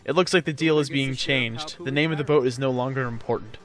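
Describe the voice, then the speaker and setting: A man's voice: mid-range voice, a little fast, over music. A man hosting a let's play, giving casual, joking gameplay commentary to a co-commentator. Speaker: host of a video game let's play.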